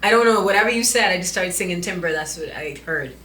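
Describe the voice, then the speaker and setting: A woman's voice: fairly low pitch, lively intonation, fast, pushed and bright, a little hiss or hum. Bahamian woman in an echoey room. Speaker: podcast co-host. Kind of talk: casual group chat.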